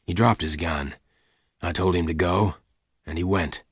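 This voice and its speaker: A man voicing a calm, gruff male gunman who speaks low and quietly. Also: measured pace, dry recording, clean studio sound. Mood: quiet, hard.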